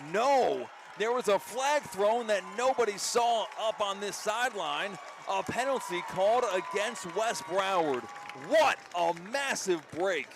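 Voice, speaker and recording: Man, slightly high voice, excitable football play-by-play commentator, live radio style, faint outdoor stadium noise. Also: measured pace, hardly any pauses, crowd noise. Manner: excitable, rising to shouts on big plays. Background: faint outdoor ambience.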